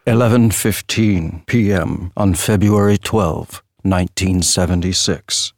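An actor playing a flippant, showy true-crime tour guide, narrating with wry theatrical flair. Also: male voice, low voice, darkish tone, dry recording. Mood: wry, eerie. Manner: theatrical, wry, drawn-out storytelling.

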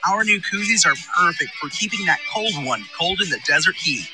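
A man reading a scripted ad in an upbeat sales pitch. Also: expressive, brisk. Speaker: ad announcer. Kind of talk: scripted ad read. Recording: loud music bed behind.

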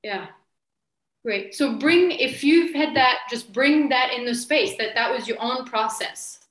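A woman leading a group training session, speaking softly and letting her phrases trail off. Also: sits high, a little slow, roomy sound, rough recording. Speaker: training facilitator.

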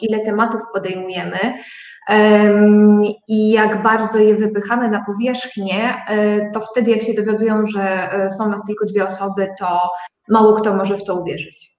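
A woman's voice: mid-range voice, a little mellow. Echoey, slightly muffled woman answering. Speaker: interview guest, company co-owner. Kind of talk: conversational interview answer. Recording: echoey room, dull sound.

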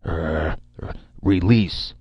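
A man voicing a thick-tongued playwright turned savage caveman, halting and grunting out few words. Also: a bass voice, huge pitch swings, vocal fry, breathy edge, dry recording, dull audio, full character voice. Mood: halting effort.

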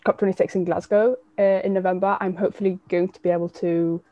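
A woman in her twenties with an English accent, a climate activist speaking as a guest in a casual interview. She speaks in a measured, thoughtful way, with frequent fillers. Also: fairly low pitch, level tone, mellow, no echo, lo-fi sound. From Sweden. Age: 20s.

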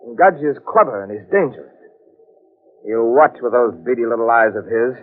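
A man's voice: mid-range voice, lively intonation, fairly quick, close to an everyday voice. An actor playing an anxious, obsessed man in an old-time radio drama, speaking in a grave, brooding way. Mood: alarmed, warning. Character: anxious, obsessed man wary of a dangerous gorilla. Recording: old tinny radio recording, faint music.

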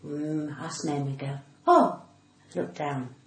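A woman's voice: low-pitched, slow pace. A middle-aged woman with a cut-glass British accent, chatting casually and cheekily as a comedian being interviewed. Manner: cheeky, flirtatious. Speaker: comedian and actor guest. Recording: echoey room with noise.

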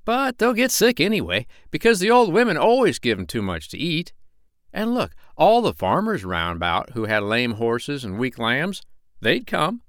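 A man's voice: fairly high pitch, sing-song, moderate pace, fairly bright tone, dry recording, clean studio sound, voice pushed up. A man voicing an eager, uneducated street meat seller with a folksy, wheedling manner for a children's story. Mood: persuasive. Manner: wheedling, colloquial, emphatic.

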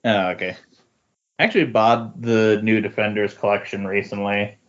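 A man's voice: on the low side, flat delivery, normal speed. A man chatting casually about comic books as a podcast guest, in a hesitant, agreeable manner. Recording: dull, lo-fi recording.

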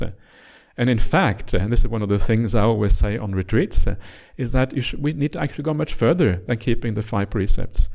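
A middle-aged man from Norway, a native Norwegian speaker, teaches a meditation retreat in a gentle, teasing way. His voice has a gravelly, creaky edge and sits at an ordinary pitch. He pads his points with relaxed uhs and yeahs. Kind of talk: dharma talk.

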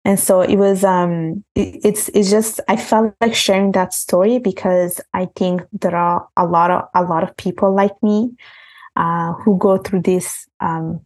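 A woman's voice: medium pitch, fairly even intonation, measured pace, a little mellow. A young woman from Italy, a podcast guest talking earnestly and reflectively, often restarting her sentences. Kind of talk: casual interview talk.

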